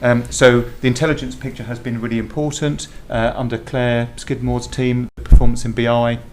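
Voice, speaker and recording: A man answers questions in a formal board meeting, circling back and restarting his sentences as he chooses his words. His pitch stays flat and even throughout. A faint background hiss sits under the voice. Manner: measured, halting, many restarts. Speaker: health board executive.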